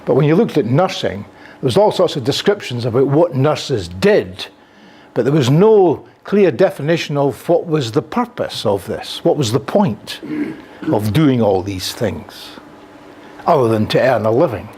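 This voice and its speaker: An older Scottish man gives a lecture in a classroom, with a somewhat husky, breathy tone at an average pitch for a man. He talks in a lively way and gestures while speaking, with plenty of ums and uhs. He is picked up by a camera at the back of the room. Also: a little slow. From Scotland. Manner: animated, gesturing while speaking, frequent fillers. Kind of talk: conference lecture. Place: lecture room with projector and seated audience. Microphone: room recording from a camera at the back.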